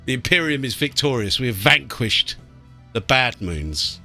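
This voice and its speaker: Bright-voiced British man doing game commentary. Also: mid-range voice, big pitch swings, unhurried. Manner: blokey, swearing banter. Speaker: let's play host. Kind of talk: casual gameplay commentary. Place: small warm room indoors. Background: game music.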